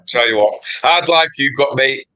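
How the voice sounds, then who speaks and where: A man's voice: medium pitch, takes it slowly, crowd noise, rough recording. A man chatting on a livestream in a matey, teasing way. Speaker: co-host. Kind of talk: casual livestream chat.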